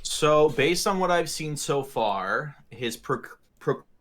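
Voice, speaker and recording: A man's voice: on the high side, lively intonation, measured pace, fairly bright tone. A man talking casually as a player in a tabletop roleplaying game, wordy and mock-formal.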